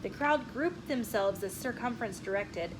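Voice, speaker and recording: A woman reading a children's picture book aloud in an expressive, deliberate storytelling manner. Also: medium pitch. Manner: animated, deliberate storytelling with acted dialogue. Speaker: children's story reader and channel host. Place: inside a bookstore. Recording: clearly noisy room with ambient sound.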